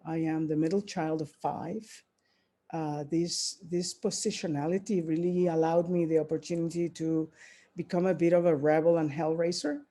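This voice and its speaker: Mellow-voiced Puerto Rican woman speaker. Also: sits low, steady tone, normal speed. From Puerto Rico. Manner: reflective, many fillers and restarts. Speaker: keynote speaker. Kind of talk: conference keynote talk.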